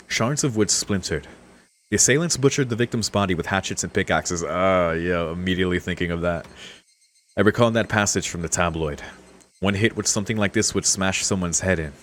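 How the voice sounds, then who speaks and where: A man's voice: deep voice, normal speed, fairly bright tone, slight background noise. A man streaming a visual novel, mixing read-aloud narration with casual commentary. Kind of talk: casual let's-play commentary and reading aloud.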